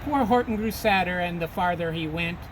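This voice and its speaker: A woman reading rhyming verse aloud for children, with deliberate, dramatic phrasing. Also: lowish voice. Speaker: storybook reader. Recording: clearly noisy background.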